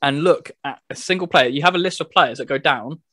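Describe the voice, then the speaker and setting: A man's voice: a little high, fairly quick, sharp tone, no room sound. Man casually explaining things, dry sound. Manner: explanatory, step-by-step. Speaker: podcast co-host reviewing a video game. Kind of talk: casual chat.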